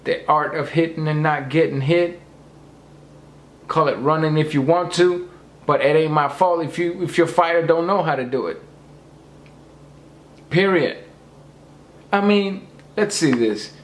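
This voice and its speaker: An emphatic man with a hoarse, slightly high voice lectures his viewers and hammers each point home. His voice bounces around an echoey room. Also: a little slow, long pauses. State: losing his voice. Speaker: boxing commentator. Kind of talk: opinionated rant to camera.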